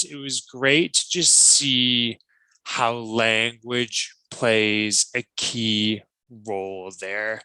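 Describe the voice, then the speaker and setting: A man's voice: on the low side, bright, forceful tone, clear, resonant tone, no room sound, poor audio. A man, a guest on an interview podcast, speaking thoughtfully with frequent ums and restarts. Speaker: guest, language teacher.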